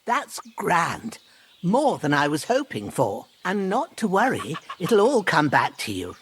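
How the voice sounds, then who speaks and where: A woman's voice: lowish voice, huge pitch swings, measured pace, breathy edge, full character voice. A woman playing a kindly, grumbling old village herbwoman in a fantasy video game, with folksy rustic speech. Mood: kindly, encouraging. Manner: folksy, rustic, plain-spoken. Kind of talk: dramatic video game acting.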